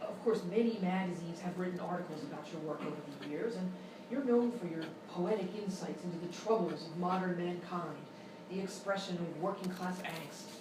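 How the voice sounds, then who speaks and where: A woman playing an earnest, courteous radio interviewer in a live comedy sketch, speaking in a formal, measured way. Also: on the low side, natural voice. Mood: polite, earnest. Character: polite, earnest female radio interviewer, increasingly flustered. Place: live show at a hotel venue. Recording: echoey, noisy live room, distant mic.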